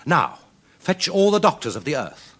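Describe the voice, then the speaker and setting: A man's voice: on the high side, sing-song, normal speed, fairly bright tone, breathy edge, voice pushed up, exaggerated voice. A man voicing a bold, brash young hero of a storybook folk tale, declaiming his commands. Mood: bold, challenging. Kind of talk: children's storybook reading.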